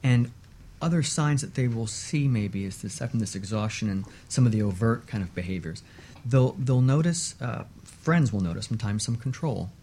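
A man speaking as an expert guest on a radio talk show, explaining in a measured, emphatic way and repeating points for stress. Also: mid-range voice, lively intonation, mellow, slight background noise.

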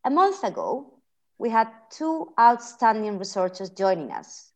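A woman's voice: a little high, very animated, measured pace, darkish tone. A woman with a Spanish accent hosting a policy podcast, speaking in a friendly way with hesitant restarts. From Spain. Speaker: podcast host, research fellow. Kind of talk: podcast interview.

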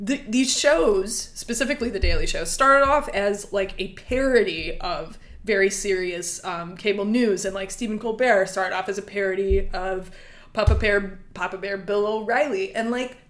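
A woman's voice: mid-range voice, moderate pace. A woman co-hosting a podcast, chatting casually and sardonically about politics and comedy, swearing freely. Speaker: podcast co-host.

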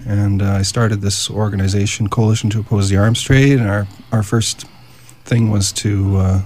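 A man's voice: fairly low pitch, steady tone, normal speed, a little mellow, slight background noise. A middle-aged man from Canada, a guest on a radio talk show, talking about his work in a wry, easygoing way. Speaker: guest activist. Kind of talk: radio interview. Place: radio studio.

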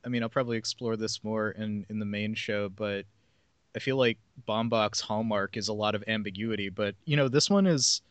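A man's voice: fairly low pitch, moderate pace, clean tone, no echo. A man hosting a podcast, chatting in an informal, agreeable, slightly rambling way. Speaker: podcast host. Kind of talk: casual chat.

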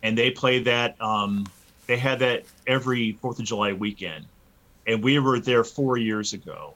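A man in his early fifties with a bright, forceful voice at a middling pitch. He talks informally and earnestly as a musician being interviewed, often hesitating as he finds his phrasing. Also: normal speed, poor audio. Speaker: interview guest, metal band musician. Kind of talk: casual interview chat.

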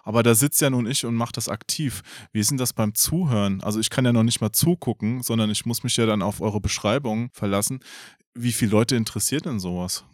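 A man's voice: mid-range voice, brisk, no echo, studio quality. A man hosting a podcast, chatting casually and inquisitively as he interviews his guests. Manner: inquisitive, conversational.

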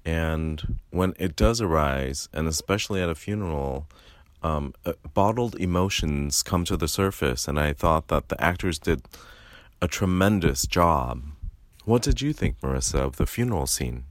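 A man co-hosting a podcast, reflecting thoughtfully with pauses. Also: extremely low pitch, animated, no room sound, clean studio sound. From United States. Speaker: podcast co-host and filmmaker.

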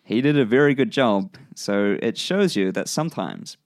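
Gravelly New Zealand man, dry sound. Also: fairly low pitch, normal speed, dry recording. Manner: relaxed explainer with dry humor, frequent ums. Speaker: podcast co-host. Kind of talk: casual science chat.

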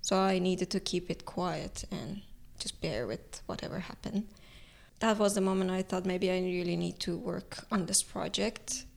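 A young adult woman from Iran, Turkish her first language, speaking English with an Iranian accent in a thoughtful, halting way as an artist in an interview. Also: medium pitch, vocal fry. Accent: Iranian, speaking English as a later language. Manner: thoughtful, halting, searching for words. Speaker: artist guest. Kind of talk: reflective interview conversation.

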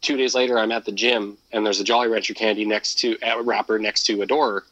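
A man's voice: lowish voice, little pitch movement, a little fast, fairly bright tone, dry recording, poor audio. A young man chatting casually on a podcast, musing aloud and swearing freely. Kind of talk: casual chat.

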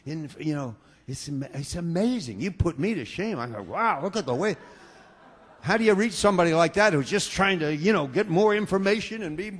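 A man from the United States preaching to a congregation, his slightly high voice climbing into loud, fervent hallelujahs before dropping back to quiet, earnest talk. The sound rings with the long reverb of a church hall. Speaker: pastor. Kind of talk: sermon.

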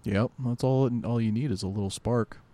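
A man's voice: medium pitch, moderate pace, warm tone. An American man chatting casually as a podcast co-host, agreeable and given to brief interjections. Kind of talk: casual chat.

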